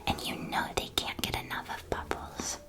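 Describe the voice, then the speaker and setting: A woman playing a friendly, whimsical shopkeeper of magical sweets in a gentle, breathy ASMR whisper. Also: lightly acted. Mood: playful, reassuring. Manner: gentle, unhurried, reassuring sales patter. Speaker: ASMR roleplay performer.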